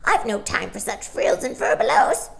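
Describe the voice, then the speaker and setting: A woman voicing a shrill, pompous, chattering male starling in a storybook reading. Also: big pitch swings, moderate pace, gravelly, heavily acted. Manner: pompous and wheedling.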